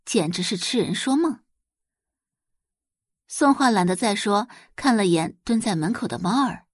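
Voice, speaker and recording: Expressive, lively woman audiobook narrator. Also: mid-range voice, unhurried, no echo, clean studio sound. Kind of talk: scripted dramatic narration.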